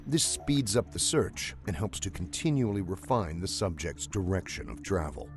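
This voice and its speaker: A man with a very low voice delivers scripted documentary narration. His reading is measured and story-like, with lively movement in pitch. Clear music plays behind him.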